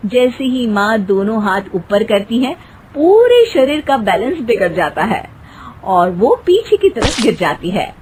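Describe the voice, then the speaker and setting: A woman's voice: fairly high pitch, very animated, a little slow, a little mellow, slight background noise, low-quality recording. A woman narrating a scripted comic story as a storyteller.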